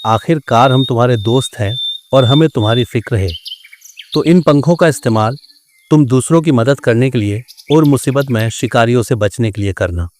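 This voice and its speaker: A man playing a caring, sensible forest animal in a children's cartoon, giving gentle advice to a friend. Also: mid-range voice, moderate pace, no room sound, natural voice. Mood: advising. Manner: gentle, advising. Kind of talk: Hindi children's cartoon dialogue.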